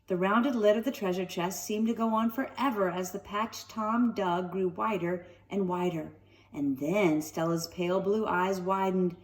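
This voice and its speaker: A woman narrates a children's book in an expressive storytelling style at a medium pitch. She slows for dramatic phrases and sits a little way from the mic. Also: far from the mic, low-quality recording. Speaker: storybook reader. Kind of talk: children's read-aloud narration with casual asides. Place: sunroom at home.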